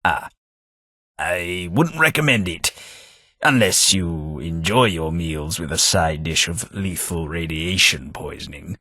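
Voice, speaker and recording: A man's voice: lively intonation, sharp tone, husky, no echo, heavily acted. An actor voicing a refined, sardonic old male ghoul companion in a game, dry and cutting in manner. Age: older. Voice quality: low, dry, measured and cutting. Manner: refined, sardonic, easily offended.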